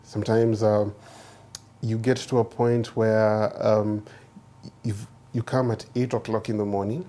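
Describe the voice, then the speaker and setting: A man's voice: on the low side, little pitch movement, a little slow, mellow. A man with a Kenyan accent telling his personal story in a casual interview, reflective and repeating phrases for emphasis. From Nairobi, Kenya. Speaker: interviewee, groom, chef and musician.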